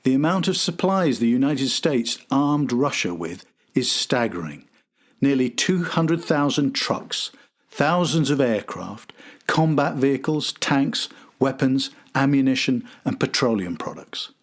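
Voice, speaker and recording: A man's voice: mid-range voice, sing-song, a little slow. A man hosting and narrating a history documentary from a script. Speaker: host and narrator.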